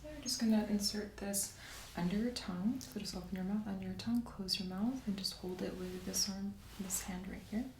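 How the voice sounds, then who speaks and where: A woman speaks softly at a medium pitch, in the manner of an ASMR doctor. She is gentle and unhurried as she questions and instructs a patient. The room adds noticeable echo, and there is clear noise behind her. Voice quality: soft-spoken. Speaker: ASMR creator playing a doctor doing a medical exam. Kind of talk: soft-spoken ASMR medical roleplay. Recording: roomy echo, clear background hiss.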